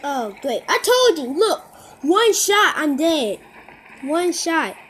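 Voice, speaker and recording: A teenager giving casual, excitable commentary while playing a mobile game, repeating short phrases and calling out to other players. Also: high-pitched, slow pace, slight background noise. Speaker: gamer. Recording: game music loud behind voice.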